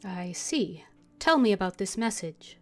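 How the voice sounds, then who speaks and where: A woman's voice: medium pitch, expressive, a little slow. A woman streaming a video game, casually commenting and reading dialogue choices aloud.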